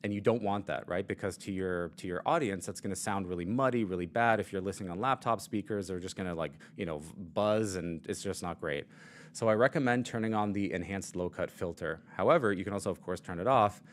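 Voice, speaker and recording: Low-voiced man demoing mic gear. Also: a little fast. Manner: casual, explanatory, many fillers. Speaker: product demo presenter. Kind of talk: live product demo and Q&A. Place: at a desk. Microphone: studio mic on shock mount and arm.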